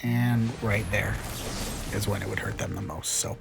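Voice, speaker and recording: A man's voice: on the low side, fairly even intonation, normal speed, creaky, over loud music. Chatty man with a slight cold. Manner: chatty and upbeat, with frequent fillers. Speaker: gaming channel host. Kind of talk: casual gameplay commentary.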